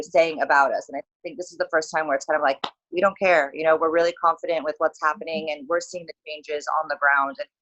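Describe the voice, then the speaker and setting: Low-voiced Saudi woman on a video call. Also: dull audio. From Saudi Arabia. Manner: animated, laughs often, talks in quick bursts with many fillers. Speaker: interview guest, author and consultancy founder. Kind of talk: casual video-call interview.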